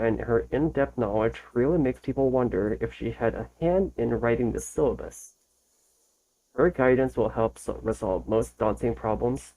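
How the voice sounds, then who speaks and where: A man with a mellow, relaxed tone and an average pitch hosts a casual reaction video. He reads text aloud and comments in an informal way. His reading is a bit halting, as he sometimes repeats a phrase to get it right. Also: poor audio.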